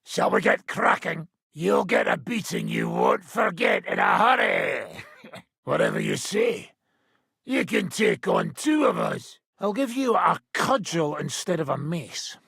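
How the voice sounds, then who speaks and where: Gravelly loudmouth medieval sparring coach for a game, taunting, pitched high and swinging all over. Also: male voice, wildly swooping pitch, measured pace, no room sound, studio quality, exaggerated voice. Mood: gruff, taunting. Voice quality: raspy, gravelly. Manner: gruff, taunting, boisterous. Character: brash hard-drinking male medieval fighter and combat trainer. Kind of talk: video game voice lines.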